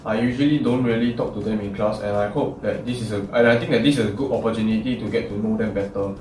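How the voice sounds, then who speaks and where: A man's voice: on the low side, steady tone, fast, a little mellow, slight background noise. A young man, a student, giving a thoughtful prepared reflection with the odd "right" dropped in. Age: young adult. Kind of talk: prepared reflection, semi-read. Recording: echoey, distant mic with music.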